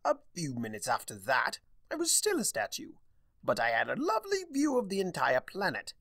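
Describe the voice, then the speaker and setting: A man voicing a theatrical, sardonic, mischievous male chaos spirit with a wry, drawn-out delivery in a fan fiction reading. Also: a little high, huge pitch swings, gravelly, a bit bright, no echo, clean studio sound, voice pushed up, exaggerated voice. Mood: aggrieved, theatrical.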